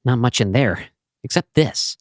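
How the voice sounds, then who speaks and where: A man's voice: mid-range voice, animated, measured pace, close to an everyday voice. An actor playing a dutiful, matter-of-fact male henchman in an audio play. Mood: deferential.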